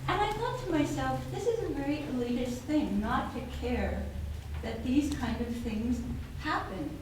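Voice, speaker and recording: A woman's voice: sits high, lively intonation, moderate pace. American woman asking from the floor, distant and echoey. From United States. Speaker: audience member. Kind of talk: question from the floor. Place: seminar room with audience. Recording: distant, very echoey, noisy room.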